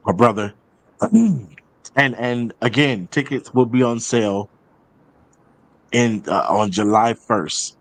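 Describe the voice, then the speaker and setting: A man's voice: fairly low pitch, poor audio. A man hosting a show, chatting casually through announcements and often repeating phrases for emphasis. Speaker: show host and playwright.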